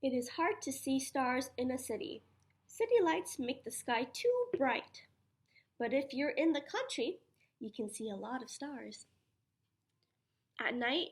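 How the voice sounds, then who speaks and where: A woman preschool teacher reading a children's science picture book aloud, putting questions to her young listeners in an animated, encouraging way. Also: high voice. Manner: child-directed, asks questions and answers them herself.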